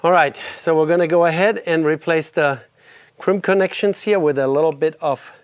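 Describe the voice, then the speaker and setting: A man's voice: on the high side, moderate pace, clean tone, no echo. Congested middle-aged man in a garage. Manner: relaxed, explains while working on a motorcycle. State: congested from a cold. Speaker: garage video host. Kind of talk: casual workshop walkthrough. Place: home garage.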